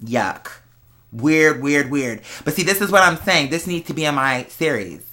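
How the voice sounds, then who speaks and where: Bright sharp voice, mid pitch, sassy slangy host, casual storytelling, drawn-out emphatic words. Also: lively intonation. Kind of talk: casual storytelling chat to viewers.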